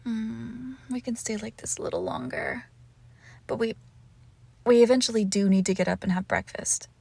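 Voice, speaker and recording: Breathy, husky lovesick witch, acted by a woman. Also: fairly high pitch, big pitch swings, normal speed, no echo, exaggerated voice. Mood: shy, playful. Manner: soft, breathy, often slipping into whispers, tender cooing. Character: lovesick possessive forest witch, doting, lonely, clingy. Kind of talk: intimate ASMR roleplay, scripted monologue.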